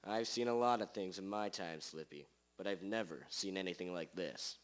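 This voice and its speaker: A man playing a confident, world-weary famous detective, deadpan and self-important, in a radio drama parody. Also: deep voice, moderate pace, soft background music, lightly acted. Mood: self-assured.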